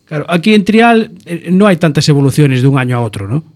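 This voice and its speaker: A man hosts a casual radio show. He talks in a slightly high voice, teasing his guests. He is recorded in a studio. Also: very animated, fast talker, a little mellow. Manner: jokey, chatty, laughing with guests.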